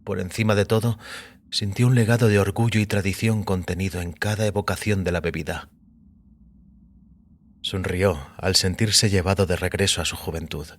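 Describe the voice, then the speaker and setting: A man narrating scripted audio fiction in a measured, literary delivery. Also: low voice, fairly even intonation.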